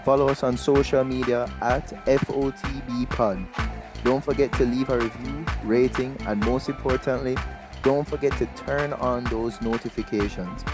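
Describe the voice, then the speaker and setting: Male host, mid-pitched, scripted outro read with prominent music underneath. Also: steady tone, moderate pace. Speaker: podcast host reading the outro. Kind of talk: scripted podcast sign-off.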